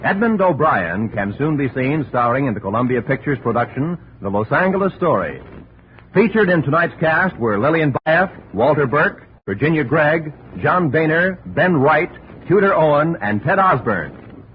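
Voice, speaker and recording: Animated male vintage radio announcer. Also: fairly high pitch, moderate pace, a little hiss or hum. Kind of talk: scripted 1950s radio announcing, closing credits. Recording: dull old radio recording. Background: music.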